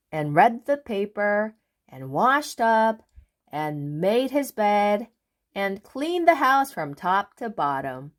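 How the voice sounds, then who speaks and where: A woman reads a children's storybook aloud. Her pitch moves in very animated sweeps over an unhurried, measured pace, and she phrases things dramatically for young listeners. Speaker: children's book reader. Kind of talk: expressive storybook read-aloud.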